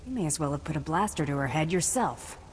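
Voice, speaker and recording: An actress playing a tough, blunt bounty hunter in a video game, curt and threatening. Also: female voice, low-pitched, expressive, quick pace, slight background noise. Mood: cool menace.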